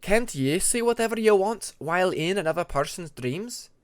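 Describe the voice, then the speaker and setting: Man as sceptical dragon, haughty, pointed, emphatic, raised pitch, fantasy audiobook. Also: animated, moderate pace, smooth, dry recording. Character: haughty, sceptical Icewing dragon, probing and curt.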